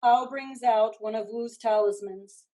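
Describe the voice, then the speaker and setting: A woman's voice: a little high, fairly even intonation, a little slow, noisy background. A woman reading stage directions as scripted narration for a play.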